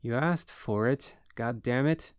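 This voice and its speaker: A man's voice: medium pitch, slow pace, clear, resonant tone, no echo, studio quality, lightly acted. A man doing a hulking, hot-tempered young roommate. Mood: nervous gruff. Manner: shouting threats, heated. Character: hulking angry young male roommate, bossy.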